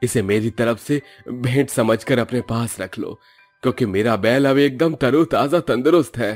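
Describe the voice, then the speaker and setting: A man's voice: medium pitch, moderate pace, darkish tone, no echo, soft background music, natural voice. A man voicing an older village farmer in a cartoon story, earnest, respectful and fatherly. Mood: grateful.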